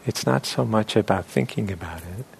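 A man leading a guided meditation as a teacher, speaking reflectively in short phrases with long, unhurried pauses. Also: fairly low pitch, lively intonation, gravelly, slightly rough, rough recording.

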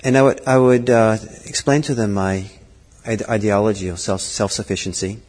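A middle-aged man giving a Buddhist dharma talk, thoughtful and halting, with frequent fillers and restarts. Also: on the low side, fairly even intonation, darkish tone. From Norway. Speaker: Buddhist teacher. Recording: long hall reverb.

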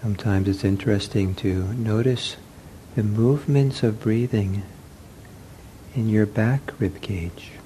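Slow, mellow man guiding a meditation. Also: lowish voice, slight background noise. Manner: gentle, soothing, long pauses between short phrases. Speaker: meditation teacher. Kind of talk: guided meditation instruction.

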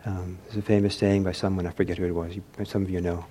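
A man giving an informal meditation talk, reflective and hesitant as he searches for words, with a soft, husky voice. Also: low voice, flat delivery, fast talker, a little hiss or hum. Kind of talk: informal spoken teaching with anecdotes.

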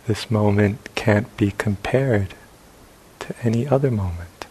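Very slow-speaking man guiding meditation. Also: fairly low pitch, warm tone, poor audio. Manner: sparse short phrases with long pauses. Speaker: meditation teacher. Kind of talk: guided meditation. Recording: faint hiss and background noise.

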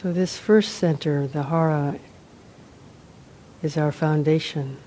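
Female meditation guide, lower-pitched and soft-toned mellow voice, unhurried phrases with long pauses, faint rumble behind. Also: steady tone. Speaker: meditation teacher. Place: meditation center, live talk.

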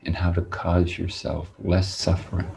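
Very slow, deep-voiced man guiding meditation. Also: monotone, creaky, a little mellow, a little hiss or hum. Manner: deliberate, measured, with long pauses. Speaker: meditation teacher. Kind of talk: guided meditation talk.

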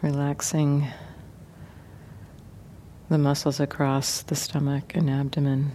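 A woman meditation teacher leading a guided meditation gently and without hurry, leaving many pauses. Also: low-pitched, flat delivery, slight background noise.

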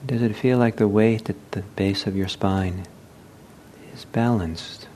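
A man leading a guided meditation as a teacher, speaking gently and unhurriedly with halting pauses. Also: steady tone. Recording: low rumbling background noise.

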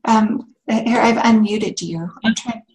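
A woman's voice: on the high side, takes it slowly, a little mellow, rough recording. A woman hosting an online meditation class, calling on participants by name to introduce themselves. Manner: calls on participants one by one, unmuting them. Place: video call.

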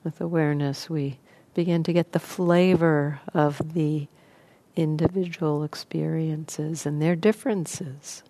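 A woman leading a guided meditation as a teacher, speaking in unhurried, sparse phrases with long pauses. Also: low-pitched, fairly even intonation, warm tone, no echo, poor audio.

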